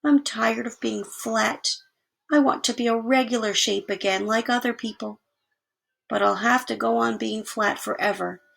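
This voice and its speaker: A woman's voice: fairly high pitch, steady tone, moderate pace, gravelly, fairly bright tone, low-quality recording. A woman voicing a sad but brave young boy in a storybook, plaintive and earnest. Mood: glum, upset.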